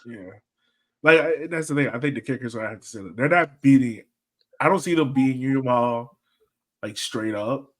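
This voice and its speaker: Mid-pitched man in casual soccer banter. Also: normal speed. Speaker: podcast co-host.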